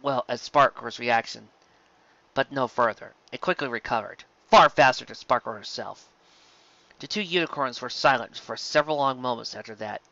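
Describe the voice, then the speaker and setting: A narrator reading a scripted story aloud in an expressive, dramatic way. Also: mid-range voice, dry recording, muffled recording. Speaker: fan fiction narrator. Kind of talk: scripted story narration with acted character voices.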